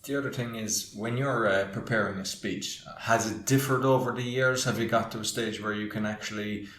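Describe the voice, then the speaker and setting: A man's voice: lowish voice, fairly even intonation, a little fast, fairly bright tone, roomy sound. A man hosting a podcast interview, asking loose, chatty questions with lots of "like" and restarts. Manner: casual, filler-heavy questions. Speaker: podcast host.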